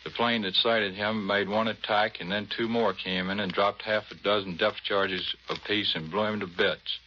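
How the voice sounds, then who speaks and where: A man's voice: lowish voice, fairly even intonation, moderate pace, pushed and bright. An American man, a Navy commander giving a modest, matter-of-fact scripted interview on 1940s radio. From United States. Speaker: Navy patrol squadron commander being interviewed. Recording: muffled, slightly noisy 1940s radio.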